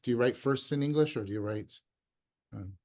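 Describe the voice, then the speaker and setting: Halting man asking questions. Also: medium pitch, darkish tone. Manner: halting, restarts often, searches for words. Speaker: poet, interviewer. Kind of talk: conversational questions. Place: video call. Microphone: computer mic on a video call.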